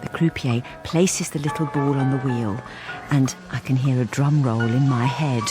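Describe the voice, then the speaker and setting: A woman's voice: a bass voice, fairly even intonation, moderate pace, over loud music. An actress playing a lonely, wistful housewife narrating her own story in a radio drama, confiding and understated. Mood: wry, confiding.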